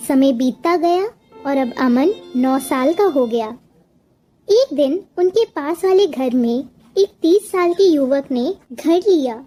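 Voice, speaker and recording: A woman's voice: high voice, dark tone. A woman narrating a scripted moral story as a storyteller.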